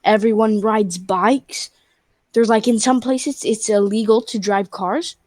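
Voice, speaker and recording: A woman's voice: medium pitch, steady tone, measured pace, darkish tone, no echo. A young girl of about twelve, from Spain, chatting casually on a video call and filling her talk with "like" and restarts. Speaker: stranger on a video chat.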